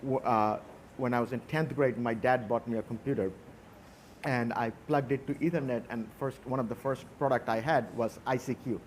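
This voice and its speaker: A man's voice: medium pitch, fairly even intonation, moderate pace, slight background noise. A man from Bangladesh with a Bangladeshi accent, speaking reflectively and telling anecdotes as a conference panelist.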